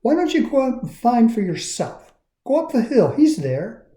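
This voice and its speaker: A carefree storybook puppet lady, as a man puts her on. She talks in a light, matter-of-fact way, lifted high in pitch and sure of herself. The room echoes around her. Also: very animated, moderate pace, mellow. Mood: breezy, assured. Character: carefree, self-assured female wooden puppet person. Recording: roomy, echoey sound.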